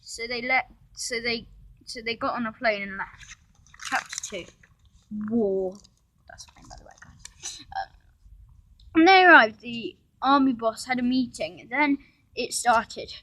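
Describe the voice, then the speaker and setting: A female-sounding amateur storyteller reading a homemade story aloud, halting, with restarts and informal asides to listeners. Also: mid-range voice, bright, forceful tone, slight background noise, rough recording.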